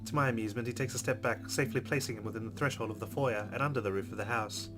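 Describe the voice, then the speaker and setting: A man hosting a let's play, reading a visual novel aloud with expressive delivery and quick asides. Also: lowish voice. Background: game music.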